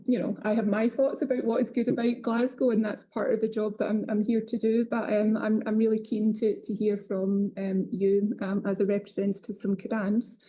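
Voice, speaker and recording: A woman hosting a webinar, measured and thinking aloud with small restarts as she speaks. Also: medium pitch, dark tone. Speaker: webinar host.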